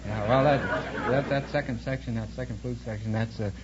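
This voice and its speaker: A man's voice: mid-range voice, fairly even intonation, brisk. A young man from Montana as a quiz contestant, earnest and hesitant, often repeating himself as he explains. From Montana, United States. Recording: dull, noisy vintage radio broadcast.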